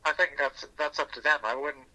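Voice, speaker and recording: A man's voice: a little high, fairly even intonation, brisk. A man speaking as an interview guest, thinking aloud earnestly and reflectively, often restarting his phrases, over a phone call. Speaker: podcast guest. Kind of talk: reflective personal storytelling in an interview. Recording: thin, bright telephone line.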